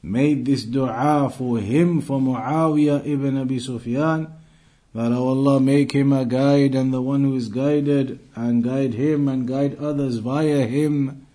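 A man giving an Islamic lesson as a teacher, reciting texts and explaining them phrase by phrase in a deliberate, repetitive way. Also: medium pitch, steady tone, mellow. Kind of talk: religious lesson, reciting Arabic texts then translating phrase by phrase.

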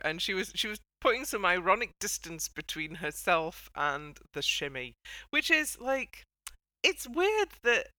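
A woman's voice: medium pitch, sharp tone, dry recording, studio quality. A woman hosting a casual chat show, commenting in a wry, wordy, mock-dramatic way. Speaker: podcast host.

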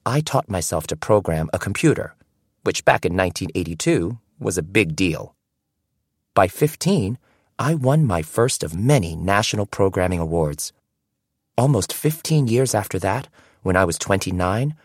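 A man reading a first-person audiobook in an expressive, storytelling way. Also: on the low side, no echo, studio quality. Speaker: audiobook narrator.

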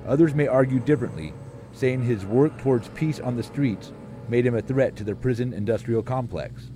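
A man's voice: mid-range voice, moderate pace, dark tone. A man reading scripted documentary narration for a radio feature. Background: music bed.

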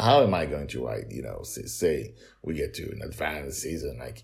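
A man's voice: very deep voice, creaky, darkish tone. A middle-aged man hosting his own story podcast, chatting casually and self-mockingly through the outro and credits. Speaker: podcast author and narrator.

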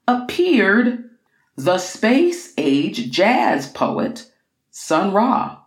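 Woman, literary reader, slow pace, medium pitch, deliberate expressive phrasing, echoey room. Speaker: reader of a book excerpt. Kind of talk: literary reading of scholarly prose with quotations.

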